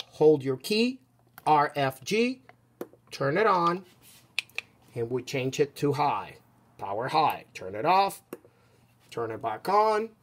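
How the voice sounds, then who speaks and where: A man presenting an unscripted product demonstration as a seller, explaining each step casually with a halting, instructional delivery. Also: a little high, fairly bright tone.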